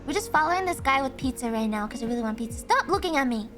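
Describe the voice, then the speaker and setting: A woman streamer chatting casually and thinking aloud, excitable, with frequent gasps and laughs. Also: high voice, racing, music in the background. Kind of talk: casual livestream chat.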